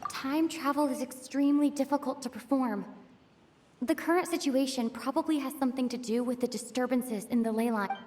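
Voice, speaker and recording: A woman playing a serious, analytical young traveler who ponders aloud in a measured way in a video game. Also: high voice, darkish tone, a little hiss or hum, natural voice. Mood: pondering. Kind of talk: video game dialogue acting.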